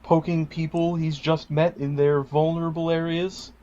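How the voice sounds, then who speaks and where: Man, fairly high voice, tabletop roleplay player, casual, slightly muffled lo-fi recording. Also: level tone, moderate pace, mellow.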